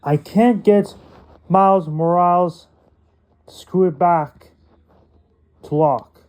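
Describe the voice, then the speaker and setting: Slow-talking man with a higher-pitched voice. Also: a little mellow. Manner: halting, short phrases, non-standard grammar. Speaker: unboxing video creator. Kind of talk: casual unboxing vlog, talking through a demonstration.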